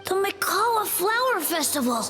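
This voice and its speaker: A woman voicing an excitable, earnest, childlike little creature in a video game. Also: very high voice, moderate pace, in a large hall, soft background music, full character voice. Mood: anxious, devoted.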